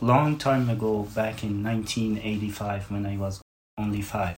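A man in his forties from Afghanistan, a guest answering interview questions with many pauses and fillers. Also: fairly low pitch. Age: 40s.

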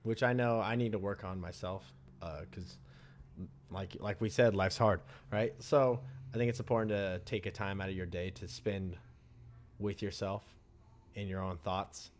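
A man's voice: low voice, steady tone, normal speed, a little hiss or hum. A man co-hosting a casual talk show, rambling loosely with swearing and joking asides. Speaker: podcast co-host. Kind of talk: casual chat.

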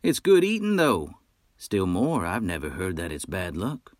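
A man voicing a loud, talkative male wolf hunter who brags in a folksy, brash way, in a storybook reading. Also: deep voice, huge pitch swings, unhurried, no echo, studio quality, exaggerated voice. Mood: boastful.

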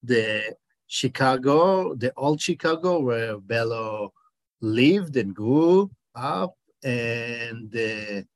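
A man in his forties with an Israeli accent talks slowly and haltingly, stopping often to hunt for the right word. He answers interview questions as a documentary director. The recording is close and dry, with almost no room sound. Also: mid-range voice, no room sound. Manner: halting, searches for words, many hesitations.

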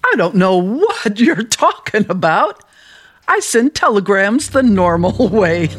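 An actress playing a matter-of-fact, chatty small-town telegraph clerk in a radio play, dry in manner. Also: female voice, mid-range voice, very animated, slow, a little hiss or hum. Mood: amused, dry.

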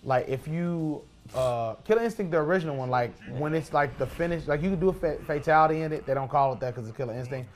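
A man's voice: fairly high pitch, fast talker, few pauses. A man in a casual podcast chat while playing a video game, arguing his points and repeating them for emphasis. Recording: clearly noisy room recording.

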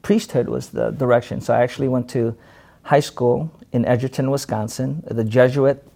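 A man from the Philippines, a self-defense instructor, talking as a guest in an interview, halting with many fillers and restarts. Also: medium pitch, steady tone, gravelly, warm tone. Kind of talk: casual interview conversation.

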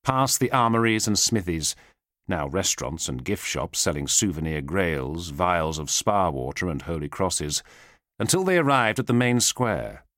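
A man reading a thriller audiobook as its narrator, in a measured, solemn, suspenseful way with weighted pauses. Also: sits low, a bit bright, dry recording, clean studio sound.

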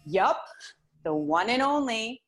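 Woman playing the frank best friend in a radio play, encouraging, slow talker with bouncy pitch. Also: big pitch swings, full character voice. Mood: confessional. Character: Angela, frank, supportive longtime best friend. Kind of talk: radio drama dialogue.

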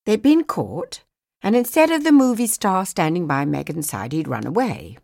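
A woman narrating a novel as a scripted audiobook, with an expressive, measured storytelling manner. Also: on the low side, creaky.

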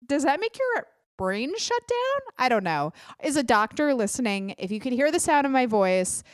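A young adult woman hosting her own podcast, chatting casually with quick self-deprecating asides, sounding a little congested. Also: sits high, very animated, no room sound, clean studio sound. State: congested, clogged ear. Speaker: podcast host. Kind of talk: casual solo podcast chat.

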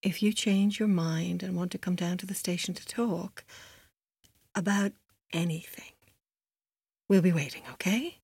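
An Irish policewoman in a radio drama. Her voice is on the low side and husky, recorded dry. She is steady and reassuring as she coaxes out answers. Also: unhurried, pauses often, no echo, full character voice. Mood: gently coaxing. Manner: reassuring, probing. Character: steady, coaxing female Irish police officer. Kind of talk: naturalistic audio drama acting.

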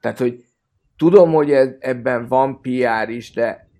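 A man's voice: mid-range voice, mellow. A man talking casually as a panelist on a football podcast.

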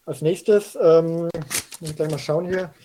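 A man playing a flustered, stammering know-it-all chief detective in a youth radio play, with a husky, hesitant voice. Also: fairly high pitch, fairly even intonation, measured pace, mellow, slight background noise, natural voice, poor audio. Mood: flustered, unsure. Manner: hesitant, stammering, fillers everywhere.

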